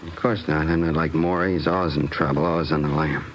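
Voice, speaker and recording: An actor playing a nervous, evasive American cab driver under police questioning in a 1950s radio crime drama. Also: male voice, a bass voice, fairly even intonation, fast. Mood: uneasy, defensive. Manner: evasive, slangy, ingratiating. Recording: vintage radio recording, faint hiss.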